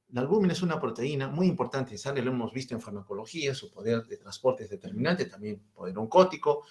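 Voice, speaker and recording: A man in his fifties with a Peruvian Spanish accent, giving a medical lecture and asking and answering his own questions. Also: medium pitch. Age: 50s.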